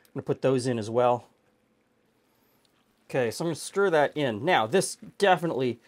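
Middle aged guy cooking at home, laid back, lots of ums as he thinks out loud. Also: medium pitch. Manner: relaxed, chatty, thinking aloud with fillers. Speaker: cooking show host. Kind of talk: casual cooking demonstration.

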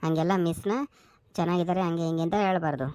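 A woman's voice: fairly low pitch, fairly even intonation, fast, dark tone, no room sound, clean studio sound, lightly acted. A woman voicing a sly, affectionate family man in a comedy cartoon skit. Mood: advising. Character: wisecracking husband and father, affectionate yet sly.

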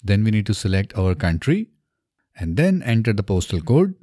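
A man in his thirties narrating a software tutorial step by step, in an instructional way, close to the microphone. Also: fairly low pitch, big pitch swings, moderate pace, no room sound. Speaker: tutorial presenter. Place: small indoor home studio. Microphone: close desk microphone on a boom arm.

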